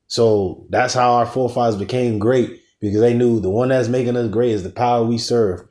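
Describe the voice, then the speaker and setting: A man's voice: medium pitch, steady tone, a little fast, a little mellow. A man teaching a casual religious lesson, preaching in a loose, slangy way with some cursing and emphatic rises on key points.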